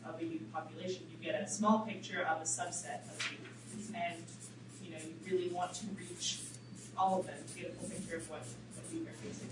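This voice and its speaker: A woman adding her view at a community café panel, thoughtful and fairly fluent. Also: on the low side. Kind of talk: panel discussion. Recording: distant, echoey, loud background noise.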